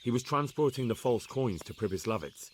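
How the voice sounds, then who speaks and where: An actor playing an earnest, measured young medieval man-at-arms in a video game. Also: medium pitch, expressive, a little hiss or hum, natural voice. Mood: sober, dutiful. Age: young adult.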